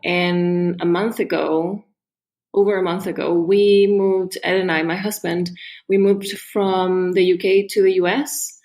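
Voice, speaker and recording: A woman from Chile, a guest on a podcast, talking in an animated, casual way with restarts and frequent laughs. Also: lowish voice, a little slow. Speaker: guest artist. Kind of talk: casual interview chat.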